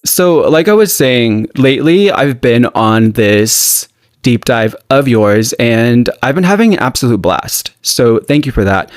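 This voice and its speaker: A man hosting a horror podcast, talking in a sassy, energetic way. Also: medium pitch, measured pace, no room sound, studio quality. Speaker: podcast host. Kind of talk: casual interview chat.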